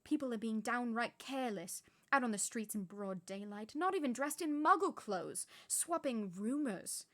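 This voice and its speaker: A prim, irritable middle-aged professor, played by a woman reading aloud snaps out her words in a clipped way. Her voice sits fairly high and has a loud, sharp edge. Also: expressive, moderate pace, hardly any pauses. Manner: clipped and impatient.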